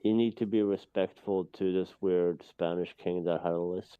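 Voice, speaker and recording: Deep man on a tinny call. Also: steady tone, moderate pace, smooth, no echo. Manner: dry, teasing jokes. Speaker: stream guest. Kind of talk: casual chat over a call. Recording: tinny phone-like call audio.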